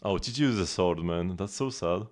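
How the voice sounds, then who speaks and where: A man's voice: low voice, moderate pace. A man live streaming a video game, chatting casually and informally as he rambles along and answers viewers. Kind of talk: casual gaming stream chat. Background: game music.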